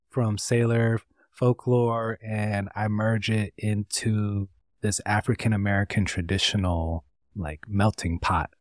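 A man from North Carolina, a guest artist, talking in a relaxed, rambling way with lots of fillers. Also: fairly low pitch, dry recording, clean studio sound. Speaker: interview guest, artist and tattooist. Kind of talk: casual conversation.

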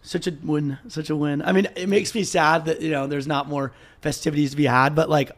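A man's voice: fairly high pitch, fairly even intonation, fairly quick. A man hosting a podcast in casual conversation, laid-back and often chiming in to agree. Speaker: podcast host.

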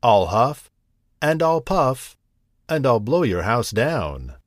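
A man's voice: mid-range voice, sing-song, slow pace, dry recording, studio quality. A man voicing a hungry big bad wolf in a storybook, growling and greedy. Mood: menacing.

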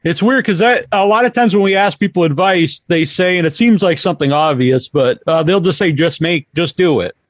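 A man hosting a horror podcast interview, chatting in a relaxed, conversational way and dropping in quick affirmations. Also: high-pitched, no echo, clean studio sound. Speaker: podcast host. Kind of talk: casual interview chat.

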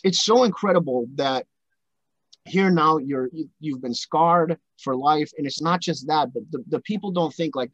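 A man hosting an interview, talking earnestly but haltingly, with many restarts and repeated words. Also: on the high side, no room sound. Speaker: podcast host. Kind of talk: conversational interview. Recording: dry, dull lo-fi sound.

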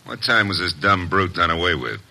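An actor playing a cold, guarded husband under suspicion, clipped and dismissive, in 1950s radio drama style. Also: male voice, deep voice, animated, brisk, sharp tone, exaggerated voice. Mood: haughty, defiant. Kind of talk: 1950s radio detective acting.

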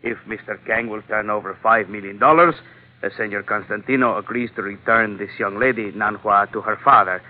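Guy doing a sly foreign go-between, lowish voice, broken english, old tinny radio show. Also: natural voice. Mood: sly, amused. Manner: halting, non-native English phrasing. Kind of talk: old-time radio drama acting.

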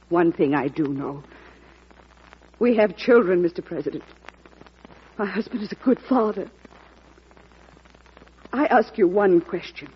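A woman's voice: lowish voice, slightly rough, lo-fi sound, full character voice. An actress playing a dignified wife pleading for her condemned husband's life in an old radio drama. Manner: earnest, pleading, restrained. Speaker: petitioner's wife. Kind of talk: 1950s radio drama acting.

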